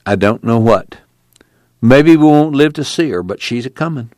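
A man voicing an older, worried farmer father who muses in a slow drawl. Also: medium pitch, animated, a little mellow, no echo, voice pushed up, lightly acted. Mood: musing.

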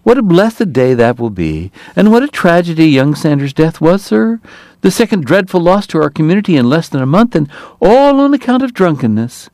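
A man voicing a huge, falsely sincere male politician who speaks in a startling high voice with smooth, pompous oratory. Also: big pitch swings, normal speed, a little mellow, no room sound.

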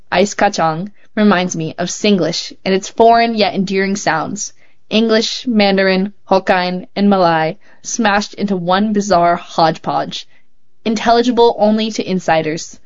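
A woman hosting a show, reading young writers' poems and essays aloud in a calm, measured way. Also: mid-range voice. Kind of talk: measured read-aloud narration. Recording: slightly muffled, dull sound.

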